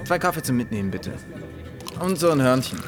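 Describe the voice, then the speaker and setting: An actor as a laconic local man in a radio drama, with music clearly behind. His voice is medium in pitch, casual and matter-of-fact. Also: expressive, a little fast, natural voice.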